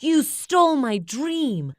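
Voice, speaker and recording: Woman as Scarlet Overkill, high, indignant supervillainess. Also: big pitch swings, takes it slowly, no room sound, clean studio sound, full character voice. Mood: furious, indignant. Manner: indignant, dramatic ranting. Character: Scarlet Overkill, proud, furious, self-pitying female supervillain. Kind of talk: children's storybook character voice.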